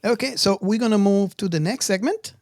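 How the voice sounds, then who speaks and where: A man's voice: high voice, fairly quick, no room sound, studio quality. A man hosting a podcast in a casual, conversational style. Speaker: podcast host. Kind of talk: casual chat.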